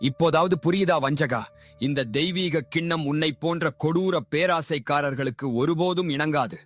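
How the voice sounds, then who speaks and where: A man's voice: on the high side, normal speed, no echo, faint music behind, close to an everyday voice. A man acting a poor, honest young villager in a moral story, speaking in an earnest, impassioned, declamatory way. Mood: indignant.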